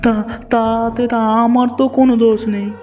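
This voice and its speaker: A meek, anxious young villager acted by a man in a cartoon folk tale, pleading in a notably high voice. The sound is dull and lo-fi, with music underneath. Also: level tone, normal speed, dark tone. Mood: frightened pleading. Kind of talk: Bengali cartoon voice acting.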